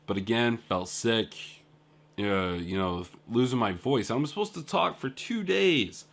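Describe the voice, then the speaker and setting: A man's voice: medium pitch, expressive, a little slow, creaky. A young man telling a rambling, casual story about his trip in a video diary, full of fillers and asides. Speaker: indie game developer.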